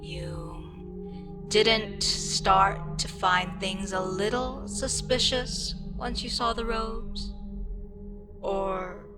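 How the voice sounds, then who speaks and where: An ancient eldritch goddess performed by a woman speaks at a very drawn-out, deliberate pace in a medium-pitched voice. She is condescending and wryly amused, with a frustrated, sarcastic edge. It is an ASMR audio drama, with music clearly playing behind her. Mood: curious, bemused. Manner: unhurried, condescending, dryly sarcastic.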